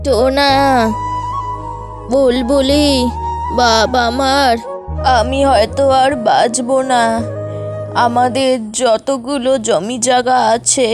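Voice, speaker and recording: A woman's voice: high-pitched, steady tone, slow, long pauses. A woman playing a dying old mother bird in a cartoon, pleading wearily and tenderly. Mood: weary, tender. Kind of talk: cartoon voice acting. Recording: music behind the voice. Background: music.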